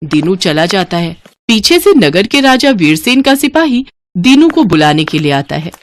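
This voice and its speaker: Animated woman storyteller. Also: mid-range voice, normal speed. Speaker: narrator. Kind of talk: scripted storytelling narration for a children's moral tale.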